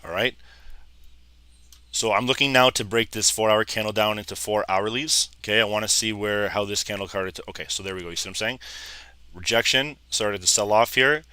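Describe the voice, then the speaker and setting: A man in his thirties, a little hoarse, casually talking viewers through live trading charts and calling them "guys". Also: fairly low pitch, fairly quick, pushed and bright, no echo. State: slightly hoarse, losing his voice. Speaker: trading educator. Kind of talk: live trading screen commentary.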